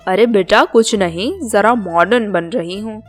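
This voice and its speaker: A woman's voice: mid-range voice, a little slow, faint music behind, lightly acted. A woman voicing an older small-town mother-in-law, chatty, proud and eager to seem modern, in storybook dialogue. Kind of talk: Hindi storybook cartoon dialogue.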